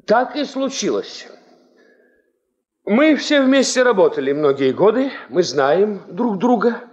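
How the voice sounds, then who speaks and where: An actor playing a principled, skeptical mining engineer in a 1970s Soviet radio play, speaking in a measured, formal, resolute way. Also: male voice, high voice, big pitch swings, darkish tone, exaggerated voice. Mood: measured, reluctant. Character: principled, skeptical male veteran mining engineer and party leader. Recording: dull, band-limited 1970s radio recording.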